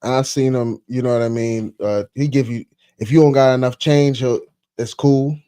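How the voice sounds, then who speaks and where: Male co-host, mid pitch, dry sound, quiet and off-axis, slangy. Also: fairly even intonation, normal speed, clear, resonant tone, no room sound. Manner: soft-spoken, often off the mic, slangy. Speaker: podcast co-host. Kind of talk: casual chat. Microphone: off-axis studio mic.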